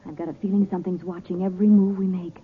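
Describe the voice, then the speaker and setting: A woman's voice: on the low side, little pitch movement, rapid-fire, lightly acted. An actress playing an alert, game young society woman helping a detective in a 1940s radio drama. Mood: wary, wondering. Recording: tinny, faintly hissy 1940s radio recording.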